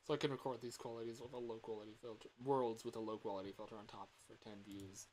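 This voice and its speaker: A young man livestreaming in a casual chat, rambling and halting as he corrects himself mid-sentence. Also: lowish voice, flat delivery, a little mellow, a little hiss or hum. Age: young adult. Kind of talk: casual chat with stream viewers.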